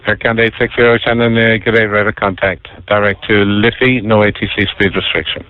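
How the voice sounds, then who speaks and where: A man with a fairly low voice works as an air traffic controller. He gives clipped instructions, heard through a narrow, tinny radio channel. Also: flat delivery, fast talker.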